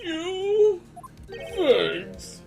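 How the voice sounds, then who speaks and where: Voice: extremely high pitch, very animated, extremely slow, gravelly, full character voice. A performer voicing a timid, anxious accused man in a video game fandub, hesitant and whimpering. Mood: tearful worry. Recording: music bed behind the voice.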